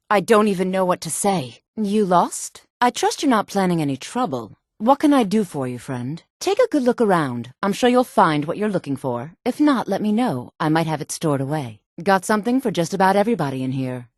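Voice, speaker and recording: A sultry, self-assured fantasy townswoman for a video game. Her voice sits slightly low and moves freely through its range, with a poised, teasing manner. The sound is close and dry. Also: no echo. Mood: alarmed, then wary, cool, then welcoming. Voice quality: breathy-edged sultry tone. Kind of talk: video game character voice lines.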